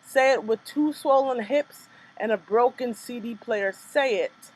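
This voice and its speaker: A young woman performs her own poetry in a rhythmic, measured spoken word style. Her voice sits somewhat high, and between poems she drops into loose, casual remarks. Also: breathy edge, poor audio. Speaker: poet performing her work.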